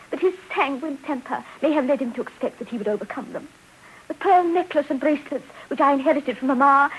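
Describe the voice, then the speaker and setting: Devoted genteel wife, played by an actress: high, quick, prim and melodramatic, hissy radio. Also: female voice, lightly acted, rough recording. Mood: tearful, melodramatic. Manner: prim, theatrical. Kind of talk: 1950s radio drama acting. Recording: hissy old radio broadcast.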